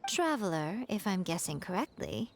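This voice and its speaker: A woman voicing a playful, knowing fox shrine maiden in an anime-style video game, teasing and unhurried. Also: fairly low pitch, big pitch swings, gravelly, a little hiss or hum. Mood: smug, knowing. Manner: teasing, unhurried, self-assured.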